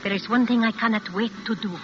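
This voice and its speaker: Woman acting a high-voiced, coy femme fatale. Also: background noise, lightly acted. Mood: teasing. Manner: teasing, deliberate, formally phrased. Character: coy, probing foreign femme fatale in wartime Madrid. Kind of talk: 1940s radio drama acting. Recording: dull vintage radio recording with music.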